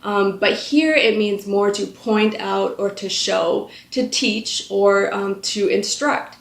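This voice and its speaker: A woman in her thirties teaches scripture from a couch indoors, her voice a mid-pitched one with a gravelly fry running through it. She talks earnestly and casually, pausing on fillers, and the room rings around her. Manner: earnest, conversational, with frequent "um" fillers. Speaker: Bible study host. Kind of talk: scripture reading and devotional teaching. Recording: echoey room.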